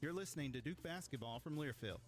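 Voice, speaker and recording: A man hosting a radio sports studio update, giving a brisk rundown of scores. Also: fairly high pitch, animated, music in the background. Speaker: studio host.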